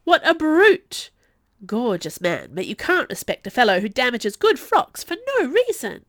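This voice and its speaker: A woman voicing a chatty, excitable young woman in a storybook, gushing as she talks. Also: on the high side, moderate pace, no echo, studio quality, full character voice. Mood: excited gushing.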